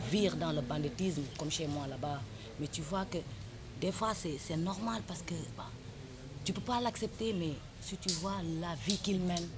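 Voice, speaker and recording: Young Senegalese woman rapper, Senegalese French accent, deep voice, impassioned interview, noisy recording. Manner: impassioned, exhorting, repeats her points. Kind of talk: earnest interview talk. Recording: noisy background ambience.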